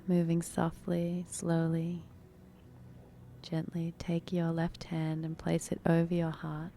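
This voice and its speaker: A woman leading a guided meditation in a soft, breathy, unhurried, soothing manner with long pauses. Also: on the low side, monotone, slight background noise.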